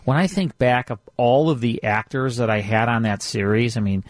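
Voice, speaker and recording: A man's voice: medium pitch, moderate pace, no echo. Chatty middle-aged man, close dry sound. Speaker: radio show host and producer. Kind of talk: casual radio chat, reminiscing.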